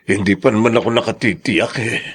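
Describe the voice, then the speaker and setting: A man with a Filipino accent playing a gentle, hurt, hesitant elderly father-in-law in a radio drama. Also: lowish voice, fairly even intonation, fairly quick, breathy edge. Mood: hesitant, wistful. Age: older. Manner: hesitant, humble, soft-hearted.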